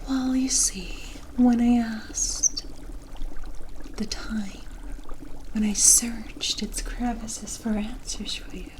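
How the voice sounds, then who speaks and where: A woman acts out a mysterious forest witch for an ASMR roleplay. She whispers softly and takes her time over each phrase. Her manner is kindly and coy, with a cryptic, teasing edge. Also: fairly high pitch, fairly even intonation, takes it slowly, pauses often. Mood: cryptic, reassuring.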